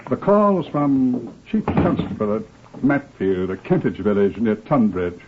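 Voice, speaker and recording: An actor with a British accent playing an authoritative police superintendent who narrates crime cases in a formal, measured, deliberate old-time radio style. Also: male voice, medium pitch, animated, phone-quality sound, slight background noise, lightly acted. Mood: solemn, measured. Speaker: narrator, host of crime series. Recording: thin, band-limited old radio recording.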